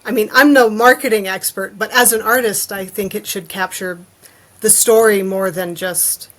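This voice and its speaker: A woman's voice: mid-range voice, fairly even intonation, normal speed, fairly bright tone, rough recording. A woman guesting on a podcast as an artist, explaining casually and acting out imagined reactions as little quotes.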